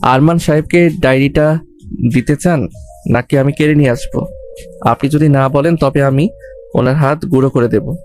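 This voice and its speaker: A man's voice: on the high side, normal speed, long pauses, darkish tone, soft background music, lightly acted. A man playing a cheeky, bold young servant in an audio love story, teasing with mock deference and protective. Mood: teasing menace.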